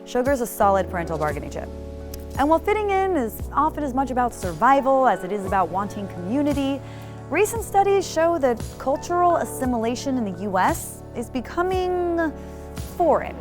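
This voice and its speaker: A young woman from the United States narrates a scripted piece with a playful touch. Her voice sits fairly high and moves a lot. Soft music plays under her. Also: very animated, fairly quick. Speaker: host and narrator.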